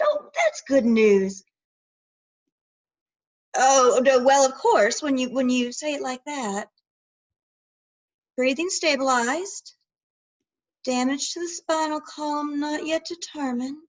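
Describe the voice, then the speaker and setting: A woman's voice: high voice, sing-song, slow pace, pauses often, full character voice. A woman voicing a lonely, timid elder sister in a stage play reading, talking hesitantly and tearfully with stammers. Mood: anxious.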